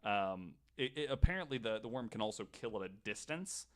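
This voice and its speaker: A man hosting a podcast, telling stories casually in a halting, conversational way. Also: on the low side, lively intonation. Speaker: podcast host. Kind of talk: casual storytelling and chat.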